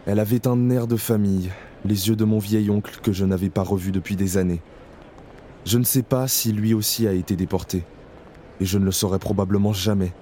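An actor playing a haunted male survivor in an audio drama, speaking slowly and introspectively in the first person. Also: lowish voice, steady tone, mellow, a little hiss or hum, natural voice. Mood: anxious. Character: haunted male camp survivor, numb and fearful.

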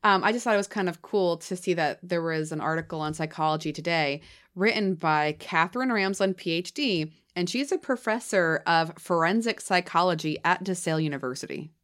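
A woman's voice: on the low side, brisk, a bit bright. A woman with a New Hampshire accent, co-hosting a spooky podcast in casual chat, giggly and rambling and tired. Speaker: podcast co-host.

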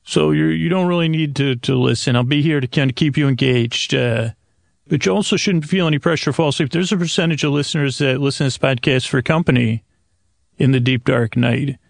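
A man hosting a sleep podcast in a creaky, gravelly voice, meandering and hesitant in a soothing, lulling bedtime monologue. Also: medium pitch, no echo, clean studio sound. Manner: meandering, halting, hesitant, tangent-prone. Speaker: podcast host.

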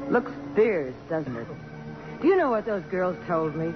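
An actress playing a poised, sentimental but strong-willed middle-aged congresswoman, with a crisp, commanding and emotional delivery in 1950s radio drama style. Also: lowish voice, sing-song, unhurried, music in the background. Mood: nostalgic, moved. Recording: dull, noisy old radio broadcast.

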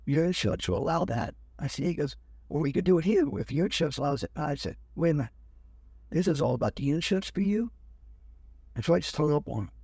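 Husky older man telling a story. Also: on the high side, no echo, clean studio sound. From United States. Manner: animated, acts out other people's lines. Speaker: guest. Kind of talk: storytelling in an interview.

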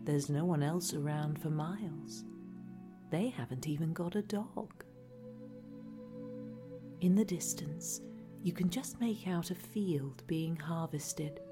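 Slow, hushed woman narrating guided relaxation. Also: low-pitched. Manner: deadpan. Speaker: narrator, reader. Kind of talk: scripted guided relaxation narration, parody meditation. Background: music.

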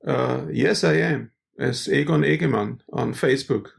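A middle-aged man from Austria, a musician with German as his first language, chatting as an interview guest, halting and restarting often. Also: medium pitch, creaky, breathy edge. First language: Styrian Austrian German. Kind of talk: casual interview.

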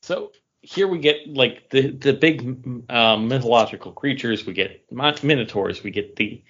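A man's voice: medium pitch, unhurried, a bit bright, breathy edge. Man casually chatting about comics, lo-fi sound. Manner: chatty, trails off and restarts while recalling names. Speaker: podcast co-host. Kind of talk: casual chat. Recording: dull lo-fi podcast audio.

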